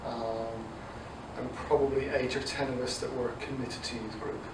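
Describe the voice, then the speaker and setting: A man's voice: medium pitch, level tone, normal speed. Irish guy in his 40s w some english in the accent, chatting in interview, echoey room, far from mic, noisy. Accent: Irish mixed with English. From south Dublin, Ireland. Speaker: youth ministry director. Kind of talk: conversational interview answers.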